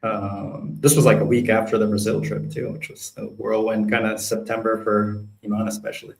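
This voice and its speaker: A man's voice: lowish voice, flat delivery, moderate pace, creaky. A man giving a casual, conversational recap as a co-presenter at a community town hall, meandering informally as he goes. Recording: roomy echo on voice.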